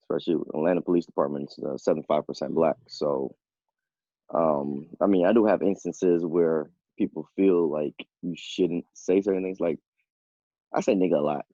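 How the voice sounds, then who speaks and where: A man's voice: very deep voice, steady tone, moderate pace, mellow, breathy edge, no echo. A man chatting casually in a blunt, unbothered way on a dull recording. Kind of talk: casual chat.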